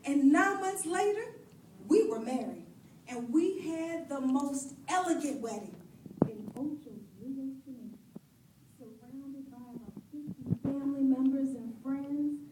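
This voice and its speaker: Actress as battered pastor's wife: high voice, wistful, loyal, pleading her husband's case; live stage play, distant mic. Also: measured pace. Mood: wistful, dreamy. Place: stage in a roomy hall. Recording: echoey hall from far off, light noise.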